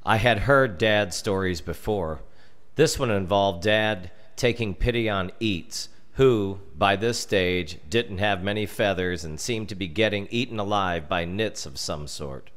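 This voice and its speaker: An American man reading a scripted story as narrator, theatrically, with dramatic pauses. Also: sits low, a bit bright. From United States. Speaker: storyteller reading his comic family memoir.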